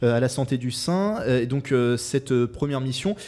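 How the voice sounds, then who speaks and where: A man hosts a local radio magazine show in a mid-range voice. He reads his introduction smoothly, then asks his guest questions with small hesitations. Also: medium pitch, lively intonation, moderate pace, a little mellow. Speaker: radio host. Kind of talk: radio interview. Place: radio studio.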